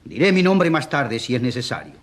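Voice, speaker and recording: An actor playing a stubborn man from the provinces who pleads for an accused priest in a radio drama, halting and insistent. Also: mid-range voice, sing-song, close to an everyday voice. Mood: fervent.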